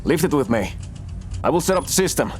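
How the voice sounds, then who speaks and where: A man's voice: a little high, big pitch swings, brisk. An actor playing an eager, sycophantic male crewman in a sci-fi audio drama, keen to please and reassure. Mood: eager, servile. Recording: loud music behind the voice. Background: loud music, machine beeps.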